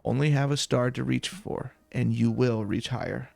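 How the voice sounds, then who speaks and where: A man voicing a proud adventurer leader from a fantasy game, reading the dialogue in an earnest, formal, creaky voice. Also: mid-range voice, moderate pace, darkish tone, no echo. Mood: earnest, lofty.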